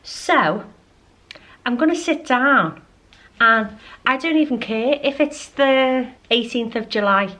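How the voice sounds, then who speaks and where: A woman's voice: on the high side, expressive, takes it slowly, bright, forceful tone. A middle-aged woman chatting informally and ramblingly to camera as a lifestyle vlogger. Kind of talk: casual chatty vlog to camera. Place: at home.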